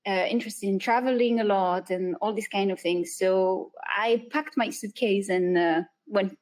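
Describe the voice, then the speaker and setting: A woman's voice: mid-range voice, steady tone, normal speed, darkish tone. A woman, a guest chatting in an interview in a hesitant, thoughtful way and laughing often. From France. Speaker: guest illustrator and animator. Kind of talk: casual interview chat.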